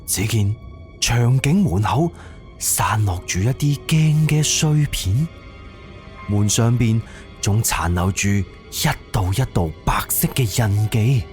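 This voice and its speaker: A man's voice: mid-range voice, lively intonation, slow pace, a little mellow, soft background music. A man with a Cantonese accent narrating a scripted suspense horror audio drama.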